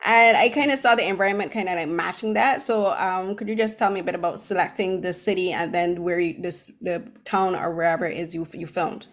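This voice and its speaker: A woman's voice: on the low side, steady tone, a little fast, hardly any pauses. A woman from Barbados interviewing filmmakers over a video call, chatty and hedging with lots of "like" and "kind of".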